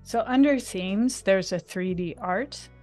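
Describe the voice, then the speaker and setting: Enthusiastic woman demoing software on a call. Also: mid-range voice, slow pace, soft background music. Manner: chatty, enthusiastic. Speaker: guest designer demonstrating software. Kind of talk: live screen-share tutorial. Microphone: video call.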